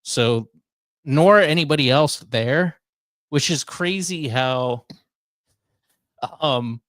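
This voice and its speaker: A man's voice: on the high side, slow, a bit bright, dry recording. A man hosting a radio show, talking in casual conversation. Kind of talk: casual chat, airing workplace grievances.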